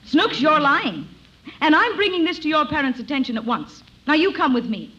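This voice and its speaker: A woman's voice: high-pitched, expressive, normal speed, pushed and bright. An actress playing a stern, exasperated woman schoolteacher, flustered and scolding, in a 1950s radio comedy. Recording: dull old radio recording.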